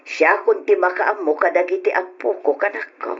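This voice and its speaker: A woman's voice: lowish voice, moderate pace, breathy edge. Elderly mother for radio drama: tender, caring, soothing; muffled lo-fi sound. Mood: caring, reassuring. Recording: dull lo-fi radio recording.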